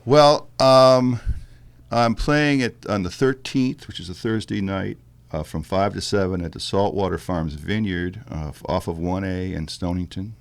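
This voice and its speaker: A male musician with a deep bass-baritone voice, talking in a halting, thoughtful way with fillers and restarts in a casual interview. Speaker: musician guest.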